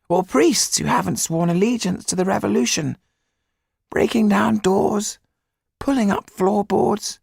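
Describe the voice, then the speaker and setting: A man voicing a small, affectionate, excitable older man in an audiobook, with a high, husky, creaky voice and an emphatic, fussing manner. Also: no room sound, studio quality, exaggerated voice. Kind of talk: audiobook character acting.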